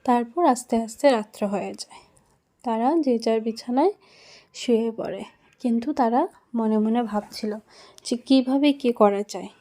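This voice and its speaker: Mellow, fairly high-voiced woman narrator. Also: measured pace, pauses often. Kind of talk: storytelling narration for a children's cartoon.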